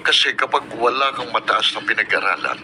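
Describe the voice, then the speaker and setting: A man plays a stern middle-aged farmer and father with a lowish voice. He speaks curtly and gruffly. Noise runs under the radio broadcast. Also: lively intonation, brisk, natural voice. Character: stern middle-aged male farmer father, cold toward his younger son. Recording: noisy radio broadcast recording.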